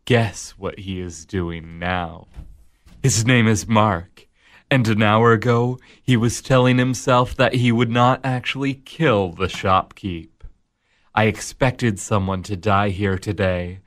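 An actor playing the Devil in a radio drama, a measured, mocking tempter who narrates and coaxes. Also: male voice, on the low side, fairly even intonation. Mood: ominous. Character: the Devil, smooth, amused male tempter.

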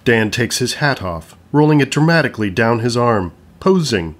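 A man's voice: mid-range voice, lively intonation, normal speed. A man narrating an audio play, reading scripted stage directions. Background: music.

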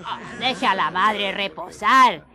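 A woman's voice: mid-range voice, takes it slowly, music in the background, close to an everyday voice. An actress playing a bossy, caring village woman in a radio drama. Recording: chattering crowd behind.

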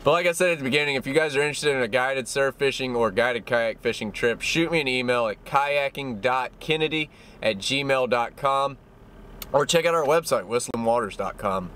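A man's voice: mid-range voice, normal speed, fairly bright tone, a little hiss or hum. A man, chatting easily to a dashboard camera from his parked car as a fishing vlogger, rambling and restarting. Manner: easygoing, rambling with restarts, says y'all. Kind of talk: casual chat to camera. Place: parked car cab, indoors.

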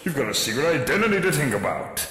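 A man's voice: a little high, very animated, quick pace, sharp tone. A man voicing a big, cheerful, oblivious superhero in a comic-book dub, with a grandiose, dramatic delivery. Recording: echoey with long reverb.

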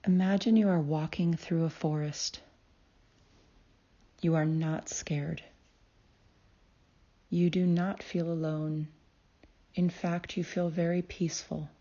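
A woman leads a guided meditation at a very slow pace, leaving long pauses between phrases. Her voice is soft-edged and mellow, sitting a little low, with a calm and soothing delivery. The recording is slightly dull. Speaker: guided meditation host and healer.

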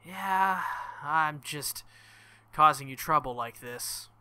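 A man's voice: on the high side, takes it slowly, sharp tone, clear, resonant tone, no room sound, exaggerated voice. A man voicing a stubborn, wounded teenage boy hero in a visual novel, hesitant and questioning. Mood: apologetic.

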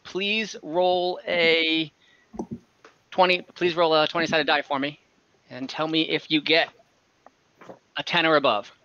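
Young man, game master, bright forceful voice, dull lo-fi call audio, dry sound. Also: fairly high pitch, steady tone, unhurried, long pauses, clear, resonant tone. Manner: casual, hesitant, many fillers. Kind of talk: running a tabletop game on a video call.

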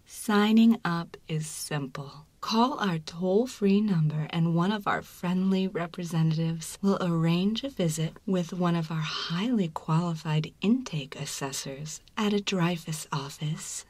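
A woman narrating a scripted ASMR advert in a soft, breathy, deliberate whisper. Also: on the low side, no echo. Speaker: promo narrator.

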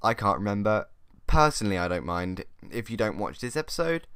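A man's voice: on the low side, very animated, a little fast, fairly bright tone, clean tone, no echo. A young man hosting casual gameplay chat in a dry, teasing manner. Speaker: gaming video host.

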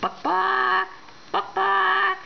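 A woman voicing an excitable, noisy pet hen with squawking, repetitive clucks in a storybook. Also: extremely high pitch, drawn-out words, gravelly, pitched above the performer's own voice, exaggerated voice. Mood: excited clucking. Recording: dull home recording with noise.

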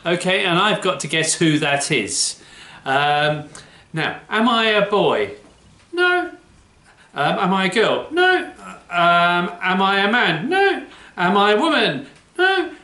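A middle-aged man, presenting to children in a playful, chatty, animated way. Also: high voice, a little slow, roomy sound.

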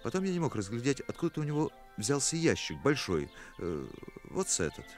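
An actor playing an awkward, fastidious, earnest young musician in a radio play, hesitant and polite. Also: male voice, medium pitch, wildly swooping pitch, soft background music. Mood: disgusted. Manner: hesitant, polite, easily indignant.

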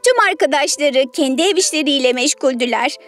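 High-voiced woman telling a children's tale. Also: brisk, fairly bright tone, soft background music. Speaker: storyteller. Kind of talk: children's story narration.

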